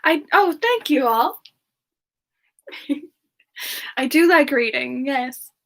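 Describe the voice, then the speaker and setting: A woman voicing a gentle, affectionate character in a soft-spoken semi-ASMR chat with viewers. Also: sits high, animated, very slow, creaky, exaggerated voice. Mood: affectionate, relaxed. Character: Frostbyte, gentle affectionate character who loves hugs. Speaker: live stream host.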